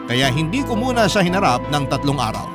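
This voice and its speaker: A man's voice: fairly high pitch, sing-song, a little fast. A man with a Filipino accent narrating a personal love story dramatically in the first person for a radio drama. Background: prominent music bed.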